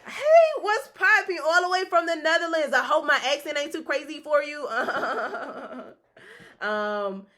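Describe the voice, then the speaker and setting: A woman's voice: high-pitched, expressive, a little slow, sharp tone. A woman talking to her live-stream viewers as she reads through tarot cards. Her talk is loose and rambling, full of restarts and offhand swearing. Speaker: livestream host reading tarot cards. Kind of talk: casual live-stream chat.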